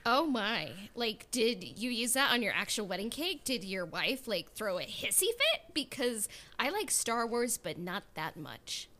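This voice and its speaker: A woman's voice: fairly high pitch, very animated, a little slow, few pauses, sharp tone. A woman co-hosting a podcast, chatting casually in a playful, opinionated way. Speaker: podcast co-host. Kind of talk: casual chat.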